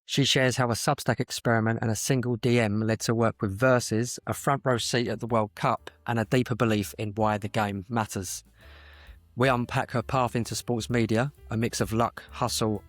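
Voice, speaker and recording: A man's voice: mid-range voice, no echo. A man hosting a podcast, speaking as a conversational interviewer. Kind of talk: podcast intro and casual interview chat.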